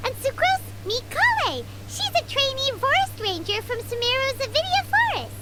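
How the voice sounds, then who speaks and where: A woman's voice: very animated, normal speed. A woman voicing a tiny, chirpy, outspoken fairy companion in a video game. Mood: cheerful introducing. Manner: speaks of herself in third person, squeaky. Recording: game audio with loud background noise.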